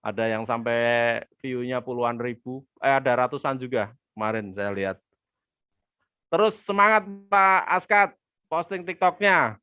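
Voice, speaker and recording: A man's voice: mid-range voice, measured pace, pushed and bright, clean tone, no echo, muffled recording. A man teaching online marketing on a live webinar, chatting with viewers and reading their comments aloud. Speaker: business trainer hosting a live webinar. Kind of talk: live-stream teaching with viewer shout-outs.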